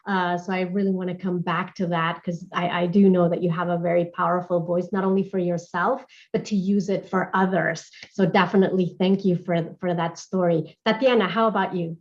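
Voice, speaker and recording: A woman's voice: on the low side, little pitch movement, brisk, few pauses, dull audio. A woman moderating a panel discussion, affirming each speaker before moving on to the next. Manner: warm, affirming, thanks speakers and hands over questions.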